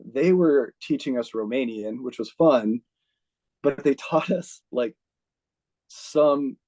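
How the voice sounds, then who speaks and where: Man, American, interview guest, mellow tone, casual storytelling, frequent fillers, laughs mid-story. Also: medium pitch, slow. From United States. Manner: chatty, heavy use of "like", laughs while telling stories. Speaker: interview guest, retired army officer.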